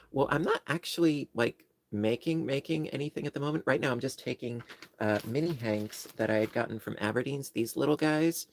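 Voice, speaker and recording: A man's voice: lowish voice, creaky, no echo. A man from New York with a New York accent, rambling and joking at his own expense while chatting casually on a livestream. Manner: rambling, self-deprecating, joking. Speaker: livestream host.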